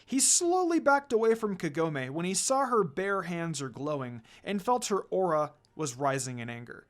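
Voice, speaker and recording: A narrator reads a fantasy fan story aloud. The delivery is dramatic, with a medium pitch that moves up and down a great deal. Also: big pitch swings, normal speed.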